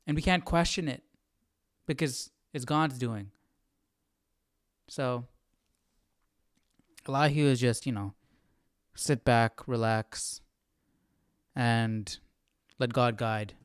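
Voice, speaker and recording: Male study host, informal and reflective, halting delivery, crisp voice, very dry sound. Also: medium pitch, steady tone, no room sound. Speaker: host of a live Bible study. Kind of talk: casual chat, commentary on scripture.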